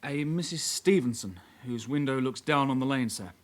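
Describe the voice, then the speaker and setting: Junior male detective, radio drama: dutiful, polite, a touch hesitant, medium pitch. Male actor. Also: moderate pace, natural voice. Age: young adult. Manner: courteous, hesitant, matter-of-fact. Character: polite, earnest young detective constable, sidekick. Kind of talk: naturalistic radio drama acting.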